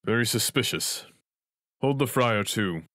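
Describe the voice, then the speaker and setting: A man's voice: on the low side, lively intonation, unhurried, fairly bright tone, dry recording, clean studio sound. A man playing a stern chief watchman in an audio drama, authoritative, giving clipped orders. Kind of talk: audio drama acting of modernized Shakespeare.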